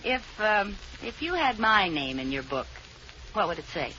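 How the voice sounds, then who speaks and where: An actress playing a spirited young housewife in a 1940s radio comedy, bubbly and coaxing, quick with a comeback. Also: female voice, medium pitch, very animated, sharp tone. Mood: chatty. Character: scheming, spirited young housewife set on acting. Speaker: lead in a radio sitcom. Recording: dull, hissy old radio transcription.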